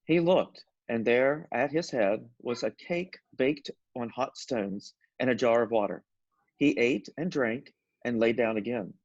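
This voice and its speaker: Guy narrating a bible story, medium pitch, dry slightly dull recording. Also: a little slow, darkish tone, no echo. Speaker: scripture reader, narrator. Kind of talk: scripted scripture narration.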